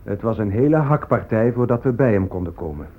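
An actor playing a grave leader recounting conquest in deliberate radio drama monologue. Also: male voice, lowish voice, expressive. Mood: grim. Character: grave man recounting conquest, warrior or chief. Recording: tinny, phone-like old radio recording.

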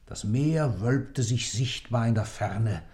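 Actor as composed, dark-voiced male witness. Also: medium pitch, takes it slowly, close to an everyday voice. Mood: measured. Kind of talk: radio play narration.

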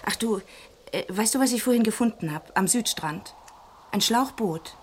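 A woman's voice: a little high, lively intonation, moderate pace, lightly acted. Woman playing an intimate, casual island sweetheart. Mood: animated, confiding. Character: affectionate woman alone at an island home. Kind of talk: German radio crime drama acting. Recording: faint background noise and ambience.

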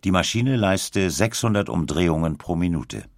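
A man reading scripted documentary narration in a measured, clearly articulated way. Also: sits low, expressive, dry recording, studio quality. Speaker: audiobook narrator.

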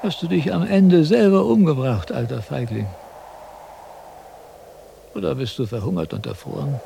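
An older actor playing a vain, grumbling old wandering philosopher in a radio drama, declaiming theatrically and questioning himself. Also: male voice, a little high, expressive, moderate pace, creaky, warm tone. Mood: musing. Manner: declamatory, self-questioning, cantankerous. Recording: noisy 1970s radio drama with sound effects.

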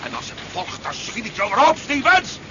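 An actor playing a desperate, bitter, hot-tempered husband in a 1970s radio play, agitated and defiant. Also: male voice, high voice, huge pitch swings, fast. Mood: furious, defiant. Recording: muffled, noisy 1970s radio recording.

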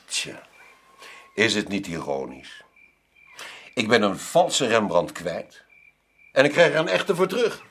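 Radio play: genteel, generous middle-aged gentleman, husky, courteous, lively intonation. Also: lowish voice, slow, long pauses, full character voice. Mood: delighted, grateful. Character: genteel, generous male art owner, delighted and gracious. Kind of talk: radio drama acting.

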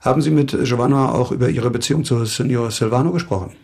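Schauspieler als trockener Ermittler mit knarrender Stimme. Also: male voice, mid-range voice, fast talker, creaky, darkish tone, lightly acted. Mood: wry. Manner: dry, sardonic, commanding. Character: wry, probing ex-doctor turned police investigator. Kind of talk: naturalistic radio crime drama.

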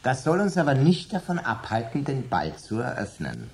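A man's voice: mid-range voice, huge pitch swings, normal speed, creaky, a little mellow. An actor playing a jovial, self-assured dinner guest who loves his wine, in a radio play. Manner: hearty, joking, self-assured. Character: jovial middle-aged dinner guest, man, wine lover.

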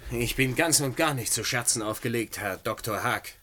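Actor as stern man, middle pitch, determined, formal, cutting, radio play. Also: moderate pace, sharp tone, slight background noise, lightly acted. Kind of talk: German radio play acting.

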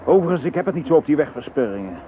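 A man's voice: fairly high pitch, sing-song, racing, a little hiss or hum. An actor playing a blunt, impatient male chief inspector. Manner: blunt, dry. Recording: tinny lo-fi old radio recording.

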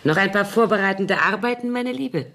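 A woman's voice: mid-range voice, fairly quick, fairly bright tone, slight background noise, close to an everyday voice. An actress playing a sly, mocking older woman in a German radio crime drama, with a sardonic, theatrical drawl.

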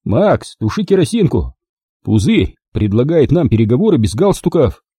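A man's voice: medium pitch, very animated, moderate pace, dark tone, husky, dry recording, clean studio sound, pitched above the performer's own voice. A man voicing a stern, sardonic fighter giving wry commands in an audiobook story. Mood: wry triumph.

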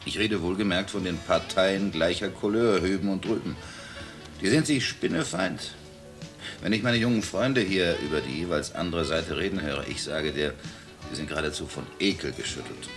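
A man's voice: sits low, normal speed, lightly acted. A man playing a cynical British journalist. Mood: sardonic. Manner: sardonic, worldly, lecturing. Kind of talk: 1980s radio play acting. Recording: music underneath.

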